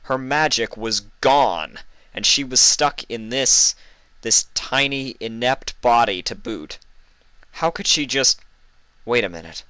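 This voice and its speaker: A man reading stories as a host, with expressive narration into a close microphone. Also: medium pitch, takes it slowly, clear, resonant tone, dry recording. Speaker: story reader and channel host. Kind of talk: expressive scripted storybook narration with casual introduction.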